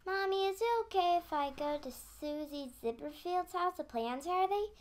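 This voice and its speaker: A woman's voice: very high voice, expressive, normal speed. A female performer playing an earnest, homesick second-grade girl in a radio play. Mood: curious, polite.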